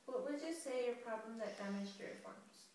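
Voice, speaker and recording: A woman's voice: a little high, normal speed, a little mellow. A woman interviewer hosting a scripted mock news interview. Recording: very echoey, noisy, distant mic.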